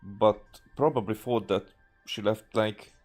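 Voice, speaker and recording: A man from Hungary, thinking aloud in halting, rambling gameplay commentary and often repeating himself. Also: on the low side, steady tone, a little mellow. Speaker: video game streamer. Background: faint game music.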